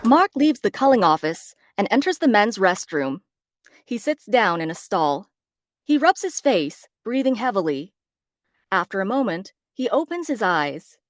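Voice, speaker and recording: A woman's voice: medium pitch, very animated, unhurried, dry recording, studio quality. A woman narrating scripted stage directions at a table read.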